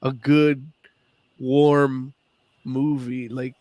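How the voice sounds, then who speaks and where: A man co-hosting a casual podcast, talking in a relaxed, halting way with many fillers. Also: medium pitch, dry recording. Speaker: podcast co-host. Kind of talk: casual chat.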